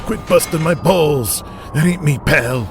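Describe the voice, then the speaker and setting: A man's voice: fairly high pitch, unhurried, over music, lightly acted. An actor playing a reluctant, irritable tough-guy wrestler, curt and dismissive, in an audio drama. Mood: threatening.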